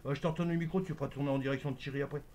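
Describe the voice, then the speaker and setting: A man's voice: mid-range voice, fairly even intonation, very fast, heavy background noise. A man chatting as an amateur radio operator in a casual, reassuring way, using procedural radio jargon.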